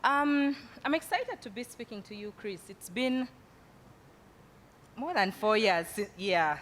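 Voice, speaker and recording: A woman moderating a panel. She has a loud voice and uses lots of fillers. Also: lively intonation, slow pace. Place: large event hall. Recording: hall reverb, faint rumble.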